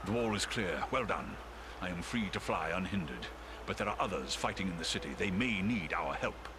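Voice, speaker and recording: Noble giant eagle for a fantasy game: very low, courteous, stately, expressive, over noisy ambience. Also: male voice. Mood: calm, watchful. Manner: formal, stately, measured. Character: great noble talking eagle, grave and courteous. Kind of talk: video game cutscene acting.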